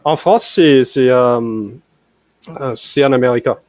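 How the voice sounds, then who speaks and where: A man's voice: mid-range voice, a little slow, darkish tone, no room sound. A young American man talks on a video call in learner French with a strong American accent. He hesitates often, filling gaps and restarting his sentences. His voice is dry and close, in a mid range. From United States. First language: English. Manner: hesitant, many fillers and restarts. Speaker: language learner. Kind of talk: casual conversation practice.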